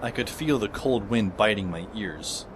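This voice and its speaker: An actor playing a dry, sardonic teenage schoolboy who narrates an audio drama in the first person. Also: male voice, fairly low pitch, animated, normal speed, background noise, lightly acted. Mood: reflective. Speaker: narrator and lead character. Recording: music under the voice.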